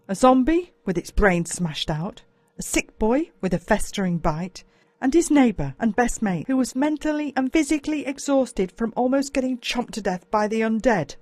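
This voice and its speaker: A woman with an Australian accent reads a first-person story as a dramatic, expressive audiobook narrator. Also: on the high side, normal speed, no room sound.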